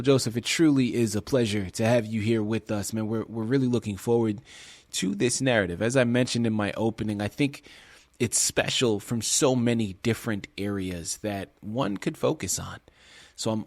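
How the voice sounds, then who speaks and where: A man's voice: fairly low pitch, a little mellow, no echo, studio quality. A man hosting a radio interview show, introducing his guest in an enthusiastic, conversational way. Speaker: radio host.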